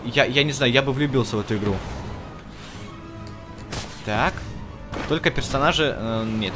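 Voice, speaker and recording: A man with a mid-pitched voice gives casual let's play commentary as a gaming video host. He thinks aloud and addresses the game characters directly, with music clearly playing behind him.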